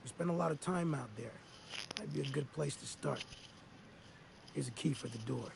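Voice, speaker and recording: A man's voice: medium pitch, moderate pace, creaky, background noise. An actor playing a grizzled older male ex-mercenary in a game, talking in a folksy, rambling drawl. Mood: weary. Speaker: game character.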